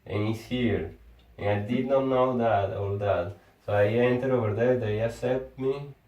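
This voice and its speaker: A Venezuelan man, a Spanish speaker and engineer, in casual conversation, talking haltingly and often restarting words as he searches for his phrasing. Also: mid-range voice, darkish tone, dull audio. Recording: echoey room, far from the mic.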